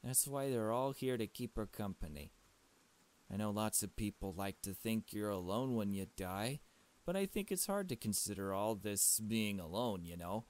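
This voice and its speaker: A man's voice: fairly low pitch, animated, normal speed, a little mellow, close to an everyday voice. A man voicing a kind, earnest, mild-mannered girl in a visual novel, hesitant and reassuring.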